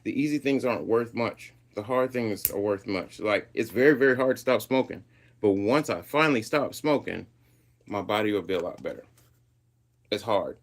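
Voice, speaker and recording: Casual streamer, male, Swahili first language, lowish voice, loose slangy delivery while he reads viewer messages. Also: expressive, normal speed. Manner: laid-back, reads and answers live chat, loose slangy talk. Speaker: livestream host. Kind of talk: casual livestream chat.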